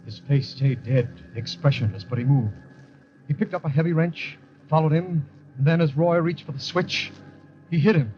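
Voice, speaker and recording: An actor playing an earnest, haunted young army lieutenant, speaking with confiding intensity in a 1940s radio drama style. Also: male voice, fairly high pitch, moderate pace, slight background noise, close to an everyday voice. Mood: horrified. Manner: intense, confiding first-person narration. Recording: dull vintage radio recording with music.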